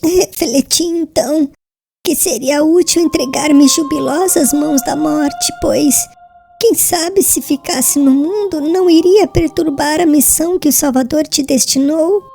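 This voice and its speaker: A woman acts out a serene young woman on her deathbed in a solemn audiobook drama. Her voice is high and soft-edged, with little creak. She speaks very slowly and haltingly, tender and devout, as if spending her last breath, over faint music. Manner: slow, halting, breathless last-words delivery.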